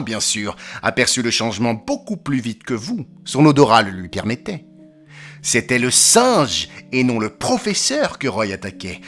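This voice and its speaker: An actor playing a brisk, provocative detective in an audio drama, with a theatrical, declamatory delivery. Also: male voice, mid-range voice, bright, forceful tone. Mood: explanatory, assured. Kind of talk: French audio drama acting.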